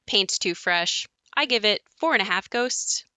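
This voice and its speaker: Actress as a woman recalling her haunted childhood, reflective audio play monologue, measured, really dry close sound. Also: medium pitch, animated, pushed and bright, dry recording. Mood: wry, reflective.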